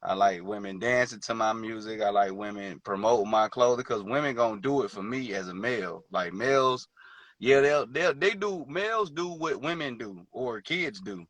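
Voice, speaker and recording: A man's voice: fairly low pitch, animated, moderate pace, fairly bright tone, no room sound. A man chatting casually as an interview guest over a video call, laid-back and slangy, with many fillers. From Detroit and Georgia, born in Hawaii. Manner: laid-back, slangy, many fillers and "you know what I'm saying". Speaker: guest musician.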